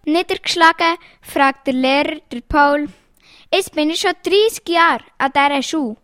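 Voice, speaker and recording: A woman reading jokes aloud in a lively storytelling way, changing her voice for the quoted lines. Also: high-pitched, moderate pace, no echo, studio quality.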